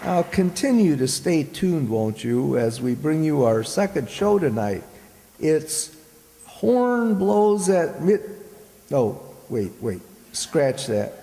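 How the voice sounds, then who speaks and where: A man announcing the credits of an amateur radio drama, in a measured, playfully formal way. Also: on the high side, sing-song, dark tone, a faint crowd. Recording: echoey hall with background noise.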